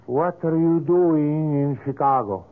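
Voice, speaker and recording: An actor playing an evasive, self-justifying male wax sculptor in a 1970s radio drama, with a measured, formal, placating manner. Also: on the high side, lightly acted. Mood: wary. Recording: muffled old radio recording.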